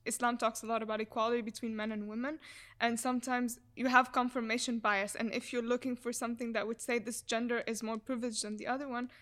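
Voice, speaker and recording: Young woman with a flat, even delivery. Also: on the high side, fast talker. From Egypt. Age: young adult. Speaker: student guest. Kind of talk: podcast conversation.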